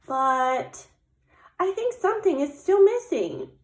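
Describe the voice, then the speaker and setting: Woman as a high, delighted little bear cub. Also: big pitch swings, slow pace, reverberant, full character voice. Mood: pondering. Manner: delighted, exclaiming, pondering aloud. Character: excited, sweet young female bear cub.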